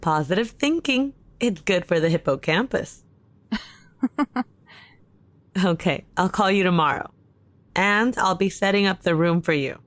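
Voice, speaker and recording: A caring, practical young woman in an audio drama. Her voice is on the low side and recorded very dry, and she talks casually and reassuringly, as a best friend would. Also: expressive, a little slow, pauses often, no echo. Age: young adult.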